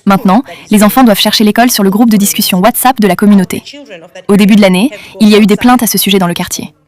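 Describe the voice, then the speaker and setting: A woman from South Africa speaking in a measured, critical way. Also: medium pitch, fairly even intonation, darkish tone.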